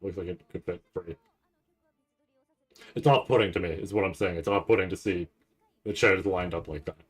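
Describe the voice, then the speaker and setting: A man giving casual, rambling live commentary as a streamer, halting and restarting often as he thinks aloud. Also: low-pitched, husky. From Canada. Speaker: streamer reacting to a live anime event.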